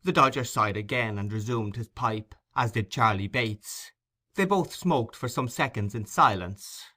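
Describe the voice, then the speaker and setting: Male narrator for classic fiction: expressive, bright and forceful, mid-range voice. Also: mid-range voice, measured pace. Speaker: audiobook narrator. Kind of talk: expressive scripted literary narration.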